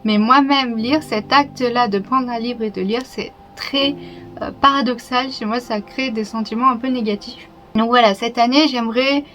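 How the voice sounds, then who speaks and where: A young woman talking to her channel in a casual, reflective way, open and a little hesitant, with soft laughs. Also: on the high side. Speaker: YouTuber. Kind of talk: casual personal vlog talk. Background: music.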